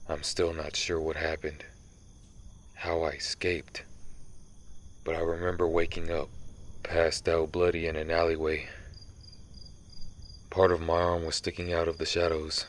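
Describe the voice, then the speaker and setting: Casting: a man to play a centuries-old vampire slayer of his own kind. Bottomless low register, flat delivery, solemn dramatic storytelling for ASMR audio drama. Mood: haunted, bitter. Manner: grave, measured, dramatic storytelling. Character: brooding centuries-old male vampire who hunts vampires. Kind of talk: ASMR roleplay monologue.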